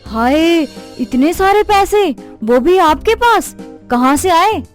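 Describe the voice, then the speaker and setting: Devout, naive young wife acted by a woman, extremely high register, emotional cartoon delivery. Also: expressive, slow, soft background music, full character voice. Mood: surprised, naive.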